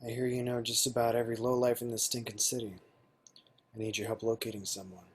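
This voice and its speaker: A man in a table read playing a stern, awkward female vigilante assassin, husky and terse. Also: medium pitch, flat delivery, quick pace, slight background noise, natural voice. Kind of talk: film script table read.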